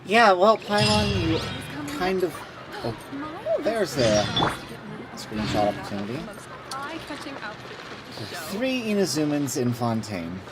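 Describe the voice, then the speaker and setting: A woman's voice: sits low, big pitch swings, slow pace, long pauses. A woman giving casual live commentary as a video game streamer. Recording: loud game music behind the voice.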